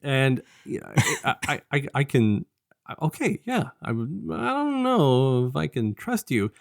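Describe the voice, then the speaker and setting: A man's voice: medium pitch, very animated, measured pace, creaky, no echo, studio quality. A man co-hosting a review podcast, casually retelling a story in a rambling way and slipping into paraphrased dialogue. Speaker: podcast co-host reviewing an audio drama. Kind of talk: casual conversational story recap.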